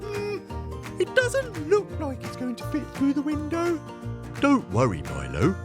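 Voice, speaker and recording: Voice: high voice, huge pitch swings, measured pace, heavily acted. A voice actor playing an earnest young panda in a preschool cartoon. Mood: earnest, apologetic. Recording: music playing behind.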